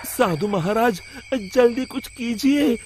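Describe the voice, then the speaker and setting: A man's voice: very high voice, expressive, slow, very noisy, pitched above the performer's own voice, exaggerated voice. A cowardly male merchant, put on by a man, wailing in a raised voice. He pleads in long, swooping phrases in a cartoon tale. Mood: panicked, pleading.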